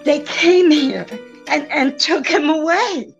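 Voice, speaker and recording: An actress playing an anxious, shaken dame in a radio detective drama, speaking haltingly. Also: female voice, sits high, muffled recording, soft background music. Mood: frightened. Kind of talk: old-time radio drama acting.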